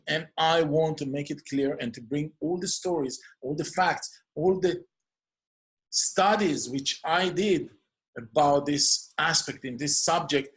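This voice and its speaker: An earnest man with an Israeli accent, his first language Hebrew, hosts a solo introduction to his program. His voice sits a little high, and he strings out phrases in lists of near-synonyms. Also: fairly bright tone. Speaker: host.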